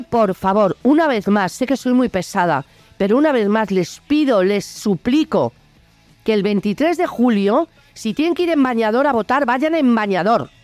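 A woman's voice: fairly high pitch, darkish tone, no echo. A woman with a Spanish accent from Spain delivers an opinionated political monologue in a blunt, indignant, colloquial way. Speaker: host of a political opinion video show.

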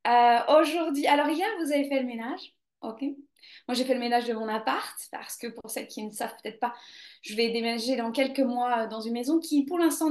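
A woman's voice: fairly high pitch, a little mellow, reverberant. A woman yoga teacher hosting a casual live stream, chatting spontaneously with viewers and hesitating often. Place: home near the coast.

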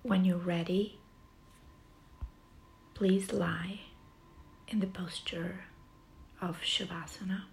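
A woman guiding a yoga nidra relaxation session in a soft, soothing, breathy way, with long pauses between short phrases. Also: lowish voice, fairly even intonation, slight background noise. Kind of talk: guided relaxation meditation.

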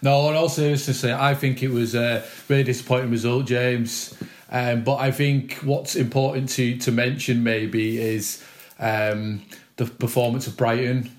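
A man talks football seriously on a fan podcast. His voice stays flat and level, with little rise or fall. He sounds as if he is in a room with a lot of echo. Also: mid-range voice, a little slow. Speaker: podcast co-host. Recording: echoey room.

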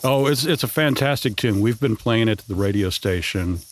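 A man's voice: on the low side, animated, fairly quick. A man hosting a radio interview, asking friendly questions with frequent restarts. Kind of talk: casual interview.

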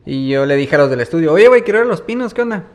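A man's voice: a little high, lively intonation, rapid-fire. A man in his thirties with a Baja California accent, telling stories in a slangy, easygoing interview and tagging nearly every phrase with "güey". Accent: northern Mexican, Baja California. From Ensenada, Baja California, Mexico. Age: 30s. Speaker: musician guest. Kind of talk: casual interview storytelling.

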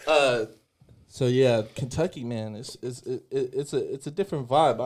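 A man's voice: mid-range voice, normal speed, a little mellow. A young man hosting a podcast in loose, profane casual chat, stumbling over restarts as he talks. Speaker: podcast host.

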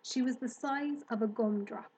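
A woman narrating a children's storybook aloud in a measured, scripted delivery. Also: a little high, darkish tone, slightly rough, low-quality recording. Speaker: storybook reader.

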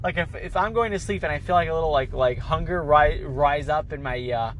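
A man's voice: on the high side, a little fast, a bit bright, a little hiss or hum, rough recording. A man with a cold and a nasal, stuffed-up voice, chatting casually as a podcast host in a rambling way, stumbling and repeating words as he restarts sentences. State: has a cold, congested. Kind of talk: casual solo chat.

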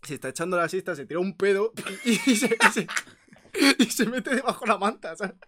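Teasing man with a higher voice. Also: animated, a little fast, bright, forceful tone, slight background noise. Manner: laughs often, teasing. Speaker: podcast co-host. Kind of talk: casual comedic chat.

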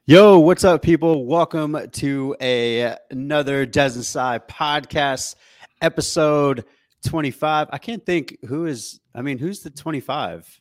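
A man's voice: on the high side, measured pace, no room sound, clean studio sound. A man co-hosting a podcast, chatting casually about sports in a slangy, mildly sweary way. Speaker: podcast co-host.

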